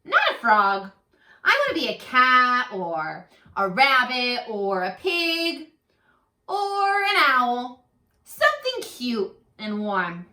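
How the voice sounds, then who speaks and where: A woman's voice: a little high, huge pitch swings, slow pace, pushed and bright, reverberant, exaggerated voice. A woman voicing a small, stubborn, discontented young frog from a children's storybook, pleading with whiny, eager questions. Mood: glum, stubborn. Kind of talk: children's storybook read-aloud.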